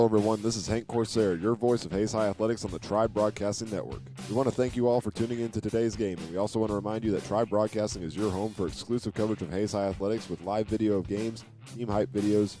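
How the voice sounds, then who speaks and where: A man with a fairly low voice delivers radio sports commentary as a play-by-play announcer. His intonation stays flat and even, and his phrasing can be halting. Faint music sits behind him. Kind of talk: radio halftime recap commentary.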